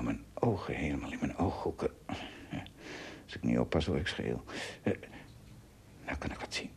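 An actor playing an English castaway adventurer in a radio drama, an earnest, fearful storyteller with a theatrical, halting delivery that moves between hushes and exclamations. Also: male voice, sits low, creaky, background noise, heavily acted. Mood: puzzled, uneasy.

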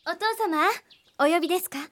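A woman's voice: very high voice, a little fast, fairly bright tone, no echo. A woman acting a polite, innocent young daughter of a wealthy family in a radio drama. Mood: innocent, curious.

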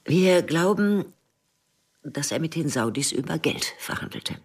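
A man playing a guarded, measured intelligence agent in a radio drama, with a breathy, often half-whispered voice. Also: a little high, lively intonation, distant mic, heavily acted. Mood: confiding, grave. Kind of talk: scripted radio drama dialogue.

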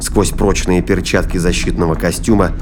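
A man's voice: sits low, fairly even intonation, moderate pace, background noise. A man reading scripted dramatic narration as the narrator of an audio drama.

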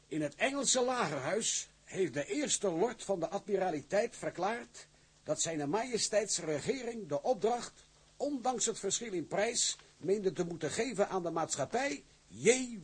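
An actor playing an excitable gentleman declaiming formal news with relish in a radio drama. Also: high-pitched, breathy edge, heavily acted. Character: excitable gentleman reading news aloud to sailors.

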